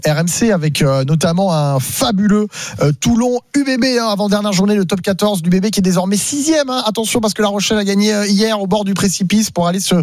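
A man hosting a sports radio show, lively and jokey as he passes the floor to his guests. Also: high-pitched, fast talker, no room sound, clean studio sound. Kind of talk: casual sports radio chat.

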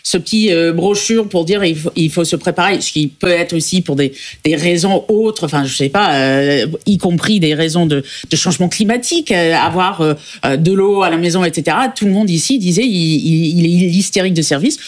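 A woman from the United States speaking as a debate panelist, pausing often and restarting her sentences. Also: fairly low pitch. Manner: hesitant, many fillers and restarts, thoughtful. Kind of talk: televised debate.

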